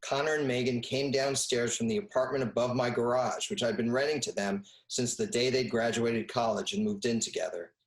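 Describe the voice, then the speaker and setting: A man's voice: medium pitch, fairly even intonation, a little fast, hardly any pauses, reverberant, natural voice, rough recording. A man playing a genial middle-aged storyteller in an audio drama, with a folksy manner. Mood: nostalgic storytelling. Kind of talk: audio drama first-person narration.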